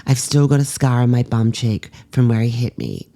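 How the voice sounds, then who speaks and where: A woman's voice: extremely low pitch, lively intonation, normal speed, creaky, dark tone, pitched below the performer's own voice, heavily acted. A woman reading aloud a letter as a doting old grandfather, fond and old-fashioned. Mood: nostalgic, proud.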